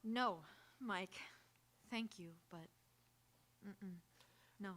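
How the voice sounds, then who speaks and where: A woman's voice: medium pitch, drawn-out words, a bit bright, a little hiss or hum. A young woman playing a proud, wry, emotional society bride in live radio theatre. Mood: gentle, wistful.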